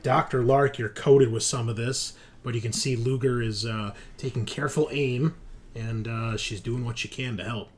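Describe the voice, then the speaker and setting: A man's voice: medium pitch, fairly even intonation, normal speed. A man acting as game master, narrating and explaining rules in an informal, sweary way. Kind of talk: casual tabletop game narration and rules talk. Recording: faint music behind. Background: faint music.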